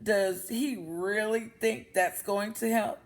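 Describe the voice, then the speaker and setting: Sly hungry wolf villain for a storybook, played by a woman, coaxing and mock-polite, slow delivery. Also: mid-range voice, steady tone. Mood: dry.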